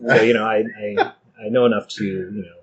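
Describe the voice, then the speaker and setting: A man's voice: lowish voice, steady tone, brisk. A man hosting a podcast, asking questions and chatting casually. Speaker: podcast host. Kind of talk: casual interviewing. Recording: dull lo-fi audio, clearly noisy.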